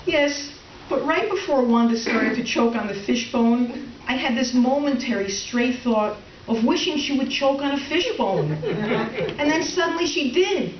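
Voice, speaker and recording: An actress plays a tightly wound middle-aged wife in a stage comedy. Her fairly high voice is brittle and politely sarcastic, caught from far off in an echoey room. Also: lively intonation, measured pace. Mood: guilty, candid. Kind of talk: comic readers theater acting and narration. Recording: roomy, distant, dull, faintly noisy stage recording.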